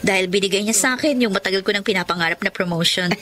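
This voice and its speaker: A woman's voice: medium pitch, rapid-fire, sharp tone, natural voice. An actress with a Filipino accent playing a sincere, devoted daughter in her thirties in a radio drama. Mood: earnest. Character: devoted 33-year-old daughter, guarded romantic, career woman. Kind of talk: Tagalog radio drama acting and first-person narration.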